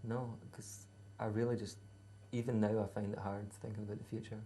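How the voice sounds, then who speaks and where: A Scottish man in his thirties talks in an unhurried, hesitant way, with dry humour and plenty of ums. His voice sits low and flat, with a gravelly rasp and a dark, mellow tone. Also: slight background noise. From Scotland. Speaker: musician guest. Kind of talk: casual interview answers.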